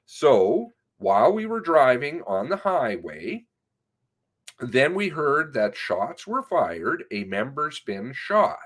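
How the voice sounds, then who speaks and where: A man reading an interview transcript aloud in a halting way, sometimes breaking off with asides of his own. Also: a little high. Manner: halting, often breaking off to comment on the text.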